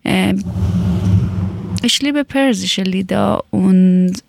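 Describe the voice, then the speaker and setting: A woman, chatting casually and often pausing to search for words. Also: medium pitch, gravelly, darkish tone, no echo. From Afghanistan. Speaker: conversation partner. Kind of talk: casual chat in learner German.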